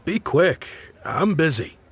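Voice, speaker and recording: A man's voice: on the high side, big pitch swings, takes it slowly, creaky, a little hiss or hum, full character voice. A man playing a busy, curt, disapproving townsman in a video game, brusque but polite. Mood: dismissive.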